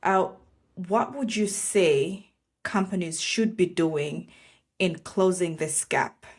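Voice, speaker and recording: Woman from Zambia, podcast host, lowish voice, casual interviewer. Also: slow, slightly rough.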